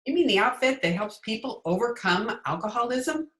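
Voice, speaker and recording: A woman playing a quick-witted, sardonic schoolteacher in an old-time radio comedy, recorded remotely in a room. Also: a little high, expressive, fairly bright tone. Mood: dry, skeptical. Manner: dry, sardonic. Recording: roomy echo, remote video-call recording.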